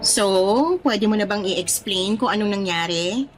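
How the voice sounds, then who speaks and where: A woman's voice: mid-range voice, a little slow, close to an everyday voice, low-quality recording. A woman playing a chatty, curious young Filipina friend in a radio drama, teasing and full of exclamations. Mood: incredulous. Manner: animated, reacting with exclamations and questions. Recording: music playing under the voice.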